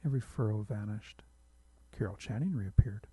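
Low, very mellow male story reader. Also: moderate pace, breathy edge, dry recording, poor audio. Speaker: author reading his short story. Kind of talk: literary story narration.

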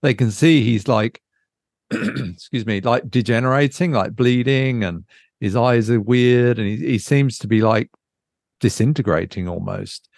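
A man's voice: medium pitch, measured pace, vocal fry, darkish tone, no room sound, clean studio sound. A man chatting casually as a podcast host, thinking aloud hesitantly and drifting into asides. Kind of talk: casual unscripted book chat.

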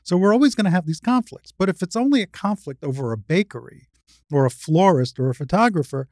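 An older woman speaks as a guest in a spirited discussion. Her voice is low for a woman, recorded close and dry. She explains her points frankly and at some length. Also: animated, fast, a little mellow, no room sound. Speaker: podcast guest, retired surgeon and advocate.

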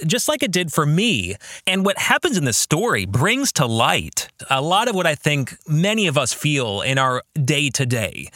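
Animated, bright-voiced man, close dry sound. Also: on the high side, no echo. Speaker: author and podcast host. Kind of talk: personal podcast talk about own writing.

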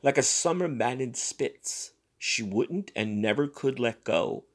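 A man reading a literary short story as a dramatic narrator, with expressive, deliberate delivery. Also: medium pitch. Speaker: story reader.

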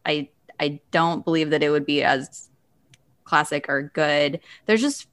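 A woman's voice: low-pitched, measured pace, a bit bright, clear, resonant tone. A woman hosting a podcast, talking in a casual, conversational style. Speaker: podcast host. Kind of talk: casual chat.

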